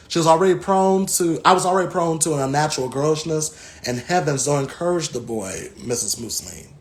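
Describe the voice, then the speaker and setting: Thoughtful American man with halting delivery. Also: a little high. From Southern United States. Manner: thoughtful, halting with frequent pauses. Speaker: guest reader. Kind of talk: reflective book talk and reading aloud.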